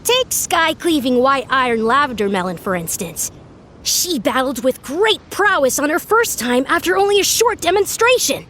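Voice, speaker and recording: An earnest young expert from a video game, high in pitch and formal in manner. The wording is precise and instructive, with faint noise underneath. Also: female voice, very animated, normal speed, a bit bright.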